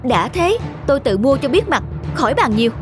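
Sharp-tongued thrifty housewife: sarcastic cartoon delivery, higher pitch, clear music bed. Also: female voice, very animated, brisk. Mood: sarcastic, annoyed. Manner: sarcastic, complaining.

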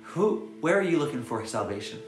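A man in his thirties giving a short sermon straight to camera, earnest and animated, dropping to a soft hush when he prays. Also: medium pitch, fairly quick. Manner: earnest, animated, swings between loud urging and soft prayer. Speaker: pastor. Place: bright home room with windows, indoors. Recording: echoey room, faint music behind.

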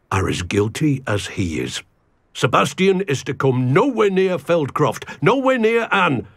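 An actor playing a stern middle-aged uncle in a video game cutscene, grave and scolding. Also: male voice, mid-range voice, slightly rough, no room sound, exaggerated voice. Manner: grave, scolding, heavy with anger. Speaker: game character.

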